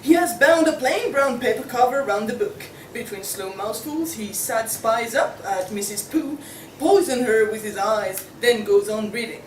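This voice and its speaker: High-voiced young woman narrating. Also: a little slow. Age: young adult. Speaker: student narrator. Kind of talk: scripted narration, table read. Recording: echoey room, distant mic, faint noise.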